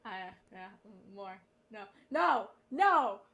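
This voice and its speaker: A woman's voice: fairly high pitch, sing-song, slow, creaky, a bit bright. A young woman from the United States hosting a livestream, chatting in a playful, rambling way. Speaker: livestream host. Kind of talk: casual livestream chat.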